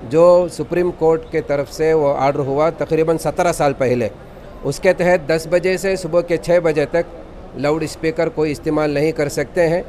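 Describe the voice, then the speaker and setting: High-voiced man, formal and devout. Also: steady tone, normal speed, darkish tone, slight background noise. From Karnataka, India. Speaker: imam and khatib. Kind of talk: spoken statement to news camera.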